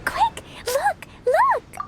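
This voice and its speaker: A woman's voice: extremely high pitch, very animated, extremely slow, exaggerated voice. A woman voicing an innocent, impulsive little girl in a video game, with an eager, bouncy, childlike way of talking. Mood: excited. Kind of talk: English video game voice acting.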